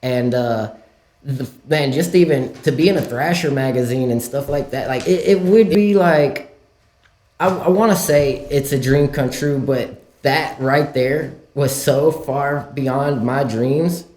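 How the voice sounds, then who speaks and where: A man's voice: medium pitch, unhurried, darkish tone, echoey room. A man from Louisiana and Mexico with a mixed accent, a podcast guest telling stories in loose casual talk full of "like"s and restarts. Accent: Louisiana-Mexican mix. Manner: loose storyteller, many "like"s and restarts. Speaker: guest, skateboarder.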